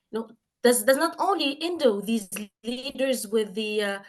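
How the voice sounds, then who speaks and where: Earnest woman researcher. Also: fairly high pitch. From Tunisia. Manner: earnest, many fillers and restarts. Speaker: researcher, audience participant. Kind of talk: academic remark in a panel discussion.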